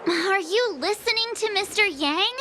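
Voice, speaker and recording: A woman's voice: extremely high pitch, expressive, unhurried, noisy background. A woman voicing a curious, plain-spoken young girl adventurer in a video game. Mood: puzzled. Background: music.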